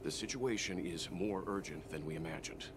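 A man voicing a composed, mature gentleman in a video game, speaking in a measured, courteous way. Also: low voice, a crowd in the background, close to an everyday voice. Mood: calm, polite. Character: composed, polite mature gentleman, dutiful crewmate. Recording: game audio with clear background noise.

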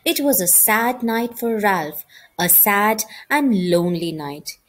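A woman reading a children's novel aloud as an expressive storybook narrator. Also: medium pitch, slow. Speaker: storybook reader.

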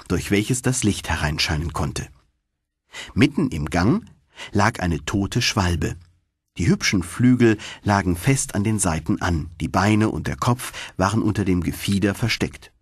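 A man narrating a fairy tale for children as an audiobook, in an expressive, dramatic storytelling manner. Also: deep voice, husky, no room sound, clean studio sound.

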